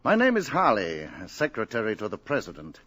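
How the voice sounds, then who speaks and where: A nervous government man in an old radio play. His fairly low voice jumps up and down a lot, and he hedges politely. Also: wildly swooping pitch, brisk, sharp tone, heavily acted. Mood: uneasy. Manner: polite, hesitant, with many uhs. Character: nervous male government secretary. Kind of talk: 1950s radio drama acting.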